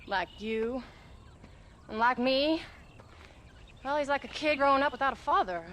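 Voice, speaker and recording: A woman's voice: high voice, animated, a little slow, a bit bright, slight background noise. A woman with an American accent playing a blunt, sarcastic, hard-bitten frontier wife and mother in a video game. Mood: bitter. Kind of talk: cinematic video game acting.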